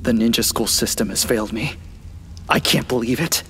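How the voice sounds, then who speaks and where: Solemn young male cartoon ninja acted by a man, earnest, dim, mid-pitched, long reverb, faint music. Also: moderate pace, fairly bright tone, slight background noise, natural voice. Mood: dismayed. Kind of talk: parody anime dub.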